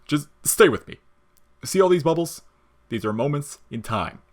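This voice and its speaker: A man's voice: fairly high pitch, expressive, normal speed, pitched above the performer's own voice. A man voicing a wry, world-weary skeleton monster who talks in sardonic slang in an audio story, jolly on top and bitter underneath. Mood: casual, explaining. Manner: slangy, sardonic, explaining things casually.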